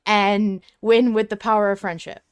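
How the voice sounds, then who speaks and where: Female podcast co-host, mid-pitched, casual and emphatic fan chatter. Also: level tone, moderate pace, clean tone. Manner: emphatic, informal.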